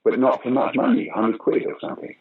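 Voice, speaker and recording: A man's voice: mid-range voice, animated, a little fast, breathy edge. An older man, an actor and pantomime director, chatting through a casual interview and telling anecdotes with acted-out quoted voices. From Britain. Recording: dull lo-fi audio with faint noise.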